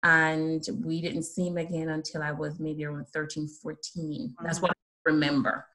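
A middle-aged woman from Jamaica with a Jamaican accent, telling her life story earnestly as an interview guest, with soft laughs and many ums. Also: sits low, level tone, normal speed. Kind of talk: personal testimony in an interview.

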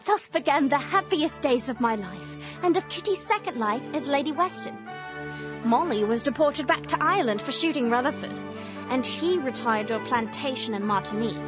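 High-voiced spirited young Victorian lady. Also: expressive, moderate pace, over music. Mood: happy. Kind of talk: period radio drama acting.

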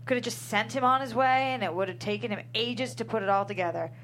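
An actress playing a proud, defensive young small-town daughter in a comedy script table read. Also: female voice, fairly high pitch, fast talker, a bit bright, a little hiss or hum, poor audio. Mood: stubborn.

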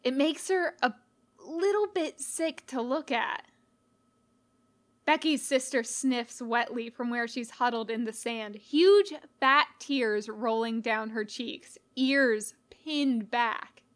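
A woman giving an expressive narration of a scripted story, as an audiobook-style reader. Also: fairly high pitch, takes it slowly. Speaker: podfic reader.

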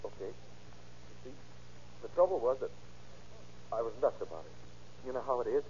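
A man's voice: medium pitch, lively intonation, moderate pace, a little mellow, natural voice. An actor playing an intense, slangy, lovesick young man in an old-time radio crime drama. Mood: resigned. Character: distraught, lovesick young man confessing to a shooting. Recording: dull, noisy vintage radio recording.

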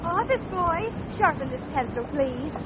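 An actress playing a pert young secretary, guileless yet tart, in 1940s radio comedy. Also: female voice, high-pitched, expressive, normal speed. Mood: tart, teasing. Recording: muffled old radio recording, faint noise.

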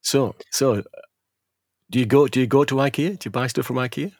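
A man hosting a podcast chat, relaxed and hesitant with plenty of fillers. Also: mid-range voice, a little fast, husky, dry recording, studio quality. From England. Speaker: podcast host. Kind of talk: casual chat. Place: at home near some furniture.

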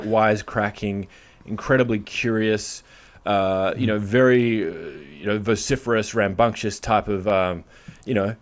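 A man in his forties with an Australian accent, chatting as a podcast guest in a jokey, rambling way with many fillers. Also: lowish voice. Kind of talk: casual interview chat.

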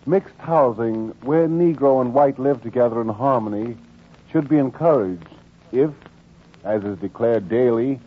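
A man's voice: medium pitch, close to an everyday voice. A man narrating a 1940s radio drama as an earnest, solemn and oratorical storyteller. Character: earnest male narrator of a civil-rights radio documentary. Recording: tinny, band-limited 1940s radio recording.

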